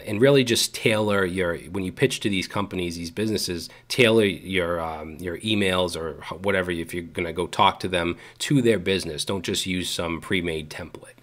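A man in his thirties with a Boston accent, talking casually to camera from his home studio, relaxed with frequent fillers and restarts. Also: low voice. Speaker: YouTube coding educator. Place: home studio room with gaming chair.

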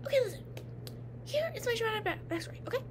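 A woman's voice: very high-pitched, expressive, rapid-fire. A young woman playing a haughty, jealous teen vampire girl in a comedic roleplay, blunt and sarcastic with whispered asides, recorded on a webcam in a dim bedroom. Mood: scolding. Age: young adult. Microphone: webcam at close range. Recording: noisy home webcam audio.

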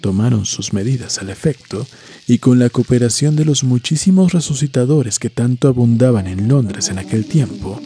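A man reading a scripted horror story in a measured, literary storytelling manner. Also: mid-range voice, warm tone. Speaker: narrator. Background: music.

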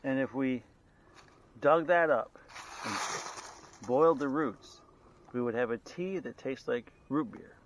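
A man's voice: mid-range voice, unhurried, long pauses, muffled recording. Middle-aged guy narrating while dragging a log through the woods, body cam, lots of noise. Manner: chatty, narrates to viewers while hauling. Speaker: vlogger filming trail work. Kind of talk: casual chat while working. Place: outdoors in a steep wooded forest. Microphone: body-worn action camera. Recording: outdoor camera mic with loud noise.

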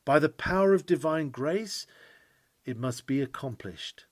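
A man reading a sermon aloud in an oratorical style, with earnest, emphatic, measured phrasing. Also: fairly high pitch, lively intonation, dry recording. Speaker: sermon reader.

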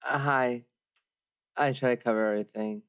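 A man's voice: medium pitch, fairly even intonation, moderate pace, a bit bright, clean tone. A man bantering casually as a player on a tabletop game podcast, hesitant and joking, through a slightly dull microphone.

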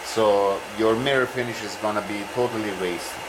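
A man giving a casual workshop demonstration, talking in a relaxed, halting way with many filler pauses, recorded on a camera mic in a home workshop. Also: lowish voice. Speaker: hobbyist machinist. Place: home workshop with running lathe. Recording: loud machine noise behind.

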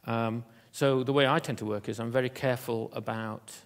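A man giving a conference keynote in an auditorium, talking in a halting way with many fillers and restarts. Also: medium pitch, steady tone. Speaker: keynote speaker.

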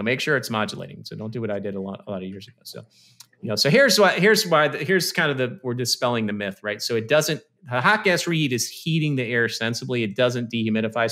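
Man, 30s, bright and forceful, casual instructor tone on a video-call studio mic. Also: mid-range voice. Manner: conversational, explains step by step with frequent "you know" and "okay". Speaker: HVAC presenter. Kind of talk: technical presentation over slides. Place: small indoor room. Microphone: studio mic on stand with headphones, video call.

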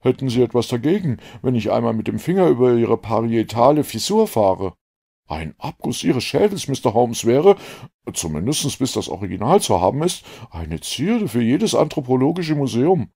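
A man voicing an eager, talkative young gentleman scientist in an audiobook, effusive and fussily precise. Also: mid-range voice, big pitch swings, moderate pace, vocal fry, breathy edge, exaggerated voice. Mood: gushing fascination.

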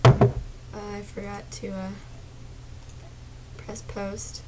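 Slow, soft-spoken young woman with a sore throat. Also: mid-range voice, monotone, very noisy. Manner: soft-spoken, drifts into whispers and mumbles. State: sore throat, partly lost voice. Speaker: gaming streamer. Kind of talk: casual chat to viewers. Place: small dark bedroom.

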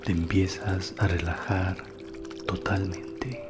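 A man hosting a guided meditation, giving soothing, unhurried instructions, recorded as narration for relaxation. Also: low voice, level tone. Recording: voice over music and water sounds. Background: relaxation music, running water.

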